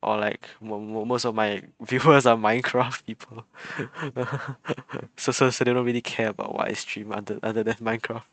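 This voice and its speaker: A man chatting casually on a game stream, halting and often repeating his words. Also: medium pitch, steady tone, fairly bright tone, no room sound. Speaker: live streamer.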